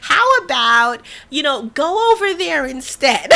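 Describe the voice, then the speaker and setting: A woman hosting a casual radio interview, talking in an animated, affirming way. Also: very high voice.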